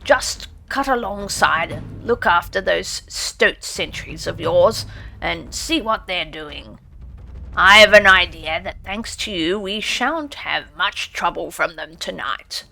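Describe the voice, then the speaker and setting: A woman voicing a gruff old male badger who talks in a blunt, common way and gives orders loudly. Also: lowish voice, animated, takes it slowly, voice pushed down, exaggerated voice. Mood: approving. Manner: blunt, plain common way of speaking.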